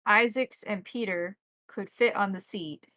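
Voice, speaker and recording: A woman runs a tabletop roleplaying game, describing scenes and checking details with the players. Her voice sits at an average pitch, recorded very dry with no room sound. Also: a little slow, pushed and bright, dry recording. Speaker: game master.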